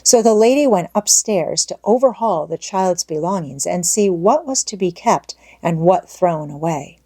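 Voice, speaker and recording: Mellow woman narrating a children's story. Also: on the low side, lively intonation, normal speed, husky. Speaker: audiobook narrator.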